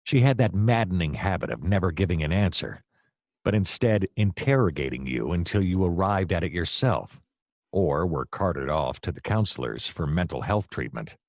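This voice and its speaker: An actor playing a wry, easily distracted private detective, narrating in a long-winded deadpan comic noir style. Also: male voice, low-pitched, normal speed, no echo, clean studio sound. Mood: grumbling, wry.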